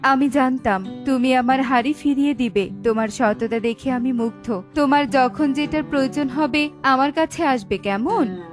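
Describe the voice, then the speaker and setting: A woman voicing a calm, helpful magical water fairy in a children's cartoon, measured and gently authoritative. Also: high voice, faint music behind, pitched below the performer's own voice, lightly acted. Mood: approving.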